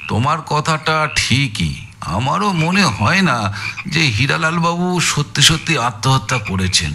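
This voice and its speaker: A man's voice: on the high side, big pitch swings, a little slow, vocal fry, pushed and bright, slight background noise, heavily acted. An actor playing a shrewd detective in an audio story, musing aloud and repeating words as he reasons and instructs. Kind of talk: Bengali audio story acting.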